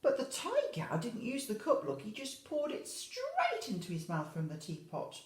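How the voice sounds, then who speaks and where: Female infant teacher for storytime, very animated intonation, chatty asides to children, roomy echoing sound. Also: a little high, moderate pace. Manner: expressive, storytelling, prompts children with questions. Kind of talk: animated picture-book reading for young children with asides.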